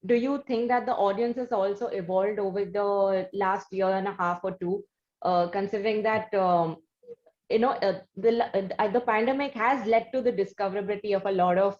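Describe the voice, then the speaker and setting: Female interview host, Indian accent, conversational and hesitant with frequent pauses, slightly dull recording. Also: medium pitch, a little mellow. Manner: hesitant, halting phrasing with many pauses. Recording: dull, lo-fi sound.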